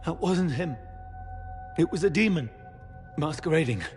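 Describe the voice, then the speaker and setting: A man playing a dying, tormented warrior in a video game, weak and in pain, speaking in halting, broken phrases. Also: fairly high pitch, expressive, darkish tone, close to an everyday voice. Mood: bitter. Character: Daniel, dying young male warrior, tormented and bitter. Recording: long hall reverb, music behind.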